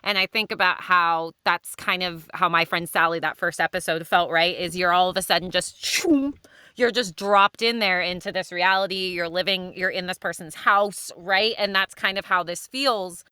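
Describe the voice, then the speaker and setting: A woman podcast host with a bright, forward voice and a very clean tone, recorded close and dry. She chats casually and teases playfully, peppering her talk with "right?" and "you know". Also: lowish voice, normal speed, smooth, no echo. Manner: playful, teasing banter.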